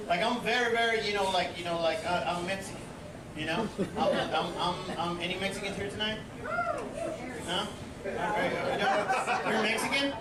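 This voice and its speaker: A man's voice: sits high, sing-song, normal speed. A man from East Los Angeles tells a stand-up story in a chatty, rambling way, often turning to the crowd. He sounds distant in an echoey live room. Heavy crowd laughter and noise sit behind him. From East Los Angeles, USA. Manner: chatty storytelling, many restarts and asides to the crowd. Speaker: stand-up comedian. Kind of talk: stand-up comedy set. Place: comedy stage on a cruise ship. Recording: echoey live venue from far off. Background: loud audience laughter and noise.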